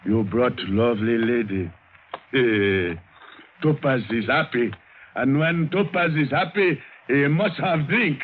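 An actor playing a boastful, hard-drinking guerrilla general with a Spanish accent and commanding broken English in an old radio drama. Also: male voice, mid-range voice, slow pace, slight background noise, lightly acted. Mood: swaggering. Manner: broken English, commanding, swaggering, repeats himself. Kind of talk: 1950s radio drama acting. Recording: tinny old radio broadcast, music behind.

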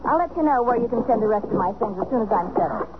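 An actress playing a prim, indignant, sarcastic wife in a radio comedy. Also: female voice, fairly high pitch, lively intonation, racing, lightly acted. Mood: defiant, curt. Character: haughty, indignant wife walking out on her husband. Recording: muffled 1970s radio broadcast, faint noise.

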